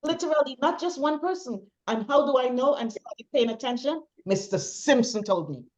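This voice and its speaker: Middle-aged female interview guest, chatty and emphatic, repetitive phrasing, muffled low-fidelity audio. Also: expressive, normal speed, a little mellow. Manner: talkative, emphatic, repeats phrases for stress. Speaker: interview guest, bereaved mother. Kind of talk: personal testimony in conversation.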